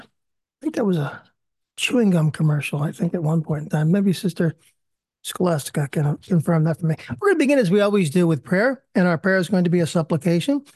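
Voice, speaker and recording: A man's voice: sits high, darkish tone, no echo. A man hosting a live-streamed prayer series, leading a prayer and then chatting in a friendly, rambling, self-deprecating way. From US Northeast. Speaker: host. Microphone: video call.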